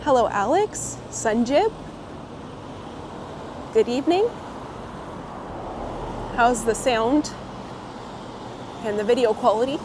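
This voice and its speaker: A woman a bit hoarse from a cold, chatting live with viewers and greeting them by name while she walks outdoors with a clip-on mic. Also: a little high, lively intonation, takes it slowly, long pauses. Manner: friendly, greets viewers by name, laughs often. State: slightly hoarse, getting over a cold. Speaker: live-streaming walking vlogger. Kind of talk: casual live-stream chat while walking. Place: city street outdoors, windy. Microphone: clip-on mic on coat. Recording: outdoor street recording with traffic noise. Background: city traffic and wind.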